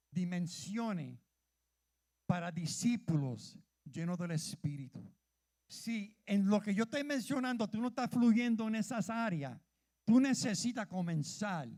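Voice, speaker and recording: Fervent high-voiced man preaching. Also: a little mellow. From Puerto Rico. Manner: fervent, calls out questions to the congregation, stumbles over words. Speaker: church leader preaching. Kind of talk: sermon.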